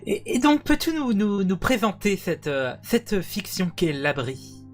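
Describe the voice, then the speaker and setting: A woman's voice: mid-range voice, big pitch swings, unhurried, soft background music. A woman presenting awards and interviewing on a live show.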